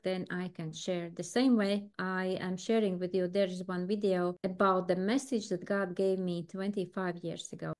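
A Latvian-accented woman in her forties gives an earnest devotional talk straight into her webcam from a room at home. Her English comes in short, halting phrases, and she pauses often as she gathers her thoughts. Her voice sits at an ordinary middle pitch for a woman. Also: a little mellow. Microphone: video call webcam, close framing.

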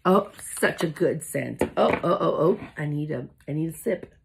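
A woman in her forties who has lost her voice, talking hoarsely with a cough in a chatty, gushing unboxing vlog, seated in a small room in front of a phone camera. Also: low-pitched, animated, moderate pace, background noise. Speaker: independent product consultant. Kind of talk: casual product unboxing vlog. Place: small indoor room with shelves, seated at a table.

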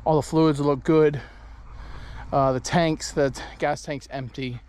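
A man's voice: a little high, steady tone, measured pace, a little mellow, slight background noise. A man, vlogging in an easygoing, chatty way while tired out on his farm. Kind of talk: casual vlog narration. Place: outdoors on a farm and in a pickup truck. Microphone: handheld action camera at arm's length.

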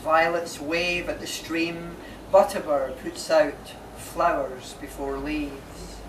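A man recites poetry very slowly, with pauses between short phrases. He stands well back from the mic in a marquee, so the sound is roomy, with clear background noise. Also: on the high side, fairly even intonation. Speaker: poet reading own work. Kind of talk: slow live poetry recital. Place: inside a marquee at a live reading. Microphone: camera mic a few metres away. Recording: distant, echoey, noisy camera recording.

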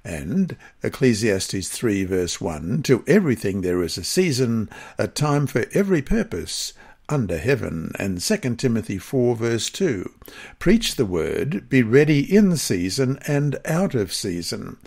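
A man with a husky voice reads a scripted devotional lesson aloud in a measured, careful manner. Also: medium pitch, sing-song, darkish tone. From Australia. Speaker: lesson reader.

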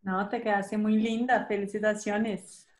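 A woman's voice: mid-range voice, flat delivery, unhurried. A woman in her thirties from Colombia with a Colombian accent, hosting a casual live makeup chat from a small room on a webcam, often checking in with "¿sí?". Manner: chatty, tags questions with "¿sí?". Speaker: host of a live makeup session. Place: small indoor room, seated at a desk. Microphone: webcam at desk distance.

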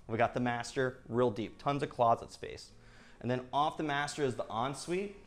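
A man in his thirties leads a real estate tour, his voice medium in pitch. He talks in an upbeat sales patter, firing off features in short bursts as he walks through the house on camera. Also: normal speed, faint music behind. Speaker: real estate agent giving a home tour. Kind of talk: enthusiastic walkthrough tour. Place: inside and around a single-story house.

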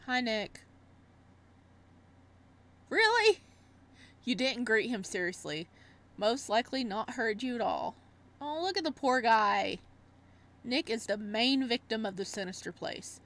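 A woman with a fairly high voice plays a visual novel on stream. She reads the narration aloud expressively. She often breaks off to react in a casual, exclaiming way. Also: lively intonation, unhurried, pauses often, fairly bright tone. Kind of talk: let's-play read-aloud with casual reactions.

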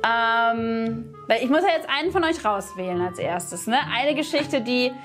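A woman's voice: on the high side, expressive, unhurried, over music. A young woman chatting casually as she questions the other players in a game. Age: young adult. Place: indoor studio room, seated at a table.

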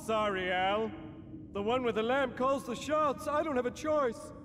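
An actor voicing a big-hearted, wisecracking genie in a video game, with an expressive, showy comic delivery. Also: male voice, very high-pitched, unhurried, noisy background. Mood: regretful. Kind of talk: video game cutscene acting.

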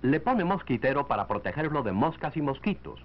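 1940s film narrator, man, expressive swooping pitch. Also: brisk. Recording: dull lo-fi 1940s film soundtrack.

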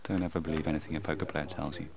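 A man's voice: very deep voice, little pitch movement, very fast, vocal fry, noisy background. A man hosting and commentating on a televised poker game. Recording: music under the voice. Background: music.

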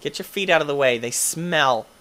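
A young man doing goofy gameplay commentary, tired, his voice deeper late at night, swinging between low mumbles and excited yelps. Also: moderate pace. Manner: goofy, playful, jumps between mumbling and yelping. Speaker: gaming youtuber.

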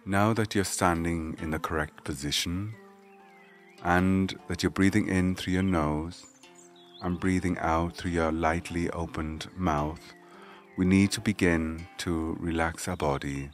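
A man leading a guided meditation, giving gentle, measured spoken instructions. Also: low voice.

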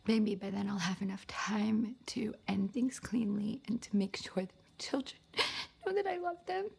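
A woman performing a dramatic film monologue as a woman confessing forbidden love, in a breathy, whispery, intimate voice. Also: lowish voice, measured pace, full character voice. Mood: emotional, yearning. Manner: hushed, confessional delivery.

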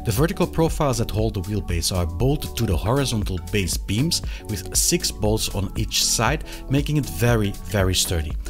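A man in his thirties presenting a product review to camera, with a hesitant, halting delivery and frequent pauses. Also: fairly low pitch, animated, music in the background. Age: 30s. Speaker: video reviewer of sim racing gear. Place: small indoor hobby room.

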